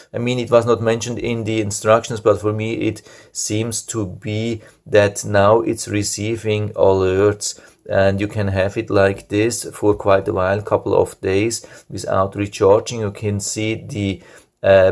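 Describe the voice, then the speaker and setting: Guy with a low creaky voice walking thru a gadget review, pretty monotone. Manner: plain, matter-of-fact explaining, walking through features step by step. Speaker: product reviewer. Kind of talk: casual product review, demonstrating a device.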